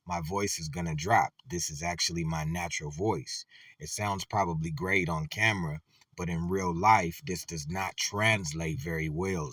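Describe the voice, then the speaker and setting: A man giving casual, slangy commentary on dating, animated and blunt, sometimes dropping into a deep, resonant voice. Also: no echo, recorded from a distance. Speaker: commentator reacting to a clip.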